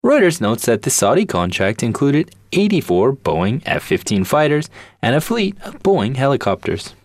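A man delivers a scripted radio news report at a medium pitch. His intonation moves up and down a lot, and the sound is dry. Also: sing-song, moderate pace, no room sound.

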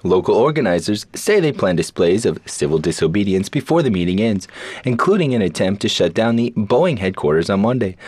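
Male news anchor, deep voice with wide pitch swings, dry close mic. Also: a little mellow, dry recording. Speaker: news presenter. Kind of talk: scripted news reading.

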